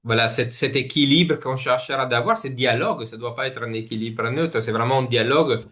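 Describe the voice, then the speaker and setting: A man's voice: mid-range voice, a little fast, a bit bright. A man with an Italian accent, a native Italian speaker presenting as guest speaker on a video call, often searching for words and restarting phrases. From Italy. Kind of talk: webinar presentation.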